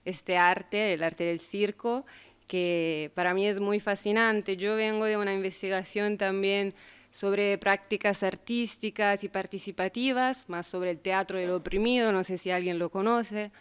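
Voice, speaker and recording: A woman's voice: medium pitch, steady tone, unhurried, clear, resonant tone. A woman from Italy speaking Spanish as a second language with an Italian accent, opening a lecture in a friendly, hesitant way. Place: theatre hall.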